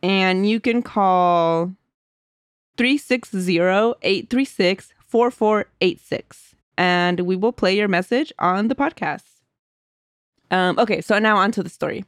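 Casting: chatty, giggly woman co-host, close dry mic, mid pitch. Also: slow, no echo. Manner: giggly, chatty, reads aloud with asides. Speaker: podcast co-host. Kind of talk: casual chat and reading listener stories.

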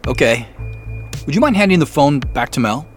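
A man's voice: medium pitch, expressive, normal speed, faint music behind, natural voice. An actor playing an uneasy, suspicious man, hesitant and guarded, in a naturalistic audio drama. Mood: awkward, friendly. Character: Joseph, uneasy suspicious man on a phone call. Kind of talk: naturalistic audio drama acting with first-person narration.

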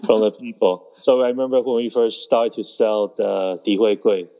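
Taiwan-born guy being interviewed, gravelly dark voice, kinda dull lo-fi sound, stops and restarts a lot. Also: lowish voice. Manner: halting, with many restarts and pauses. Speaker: festival organizer. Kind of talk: conversational interview answers.

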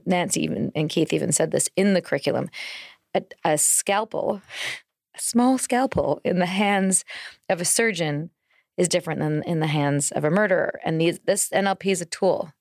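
A woman's voice: on the low side, normal speed, creaky, darkish tone, no room sound. A woman co-hosting a casual podcast conversation, explaining things through personal anecdotes. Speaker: podcast co-host.

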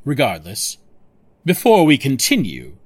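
A man's voice: medium pitch, huge pitch swings, a little slow, husky, exaggerated voice. A man playing a sardonic, suspicious official in an audio drama, questioning in a dry, pointed way. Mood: sardonic, probing.

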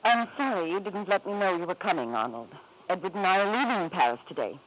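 A woman's voice: on the low side, expressive, fast talker, dull audio, lightly acted. An actress playing a bitter, weary wife and anxious mother in a 1950s radio drama, formal and emotional, on an old broadcast recording. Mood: cold, defiant.

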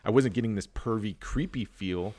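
A man's voice: lowish voice, normal speed, mellow. A man hosting a movie podcast in casual chat, thinking aloud in a rambling way.

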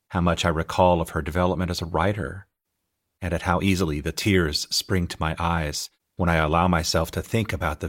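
Very low-voiced American man reading. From California, United States. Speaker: author reading his own audiobook. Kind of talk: scripted audiobook narration.

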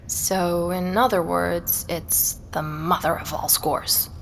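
A woman's voice: lowish voice, steady tone, takes it slowly, creaky, a little hiss or hum. A woman acting in an audio drama as an inquisitive young researcher who tells of her travels with earnest wonder. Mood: curious. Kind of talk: audio drama narration and natural dialogue.

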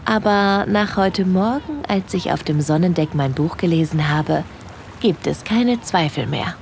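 Smitten young woman, low creaky voice, breathy confiding manner, audio drama, ambient noise behind. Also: lively intonation, unhurried, a little mellow. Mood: dreamy, infatuated. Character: shy, smitten young woman on a yacht holiday. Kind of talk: intimate first-person audio drama narration.

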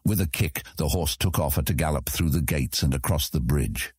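A man narrating a fantasy audiobook in a measured, dramatic storytelling manner. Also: very deep voice, darkish tone, slightly rough, dry recording, studio quality.

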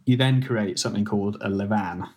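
Deep-voiced man explaining casually. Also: brisk, darkish tone, a little hiss or hum. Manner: rambling, hedging with "like" and restarts. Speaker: guest food editor and ex-baker.

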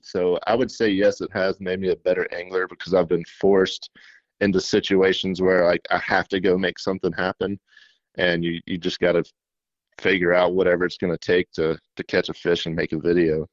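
A shy young man from middle Tennessee, a fishing YouTuber, answering interview questions in a self-described monotone with many fillers. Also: sits low, a little fast, dry recording, muffled recording. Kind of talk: casual interview.

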